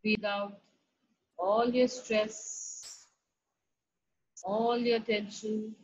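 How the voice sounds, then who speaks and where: Slow, soothing woman guiding a meditation. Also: mid-range voice, little pitch movement. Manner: soft, slow instructions with long pauses. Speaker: meditation guide. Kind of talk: guided meditation. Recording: dull lo-fi recording with faint music. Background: soft background music.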